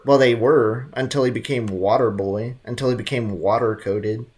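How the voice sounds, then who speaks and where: A man's voice: mid-range voice, fairly even intonation, moderate pace, darkish tone. Jokey young man streaming a game. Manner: jokey, slangy running asides. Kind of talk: casual live gameplay commentary. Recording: game music behind.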